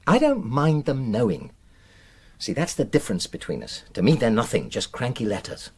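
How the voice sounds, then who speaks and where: A man's voice: mid-range voice, wildly swooping pitch, moderate pace, a little hiss or hum. An actor playing a defensive, indignant middle-aged English husband and father in a naturalistic radio drama, speaking in an emphatic, clipped, self-justifying way.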